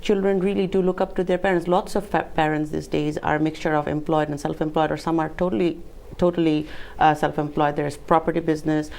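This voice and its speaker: A woman from Bangladesh speaking as a panel guest, giving measured, thoughtful answers with some hesitant phrasing. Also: low-pitched, flat delivery, gravelly, darkish tone. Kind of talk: measured conversational discussion.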